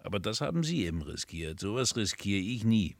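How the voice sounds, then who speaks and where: Chatty low-voiced small-time crook. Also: male voice, very animated, a little fast, no room sound, studio quality. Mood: sardonic. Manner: chatty, sardonic. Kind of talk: 1970s German radio crime drama.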